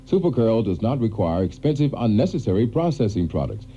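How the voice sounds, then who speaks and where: A man's voice: lowish voice, sing-song, fairly quick, warm tone, a little hiss or hum. A man reading a scripted radio commercial, confidently listing product points. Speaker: ad announcer. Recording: muffled old radio tape.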